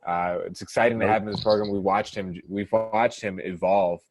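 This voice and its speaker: A man's voice: lowish voice, steady tone, brisk, dull audio, slight background noise. A man hosting an interview, upbeat and casual, stumbling and repeating words. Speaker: interview host.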